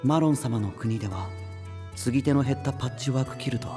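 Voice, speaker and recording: A man voicing a relaxed, thoughtful young male knight in an anime voice drama, with an easygoing manner. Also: medium pitch, brisk, lightly acted. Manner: laid-back and easygoing. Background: music.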